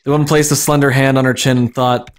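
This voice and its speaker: A man's voice: medium pitch, little pitch movement, fast talker. A man reading a comic fan fiction story aloud as dramatic narration.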